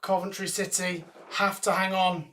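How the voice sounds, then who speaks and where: A man calls a football match live for his fans, with excitable play-by-play that bursts into shouts. His voice has turned hoarse and breathless from celebrating. The tone is bright and forceful, with a faint hiss behind it. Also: sits high, moderate pace. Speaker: watchalong host commentator. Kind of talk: live fan commentary.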